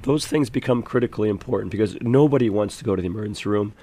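A hoarse man, a physician guest, speaking in a polished, promotional way during a radio studio interview. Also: lowish voice, rapid-fire, warm tone, dry recording.

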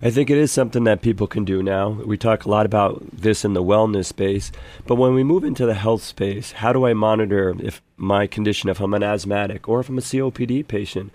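A hoarse man, a physician guest, speaking in a polished, promotional way during a radio studio interview. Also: lowish voice, brisk, a little mellow, no room sound.

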